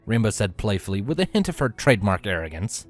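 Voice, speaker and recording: A man narrates a story in a fairly low voice. His delivery is scripted storybook reading, with light music playing behind him. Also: big pitch swings, fast talker.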